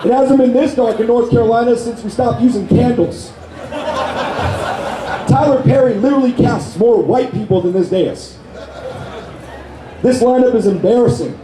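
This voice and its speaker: A man with a hoarse, worn voice, delivering brash, profane insult jokes at a live roast on a convention stage with a handheld mic. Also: extremely high pitch, normal speed, long pauses. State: hoarse, lost his voice. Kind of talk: live roast comedy set. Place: convention stage before a crowd. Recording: echoey hall, loud crowd noise.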